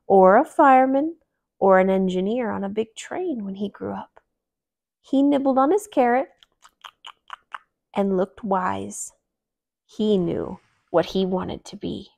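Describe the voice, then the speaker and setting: A woman in her thirties reading a picture book aloud to young children in an expressive, animated storytelling way. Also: medium pitch, unhurried, vocal fry. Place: small living room, indoors. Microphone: clip-on lapel mic.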